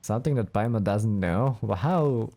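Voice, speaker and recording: A man's voice: on the low side, expressive, normal speed, warm tone. A man doing casual let's play commentary, wry and musing aloud as he goes. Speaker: gaming let's play host. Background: video game music.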